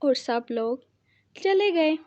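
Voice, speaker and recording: Woman, high voice, dry sound, scripted children's story narration. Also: animated, slow, darkish tone, no echo, lo-fi sound.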